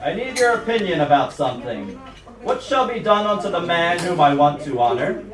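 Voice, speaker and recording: A man voicing an excitable, decisive king in an amateur puppet show with exaggerated delivery. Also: fairly high pitch, normal speed, crowd noise. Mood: commanding, pleased. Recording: echoey room, distant mic, audience noise.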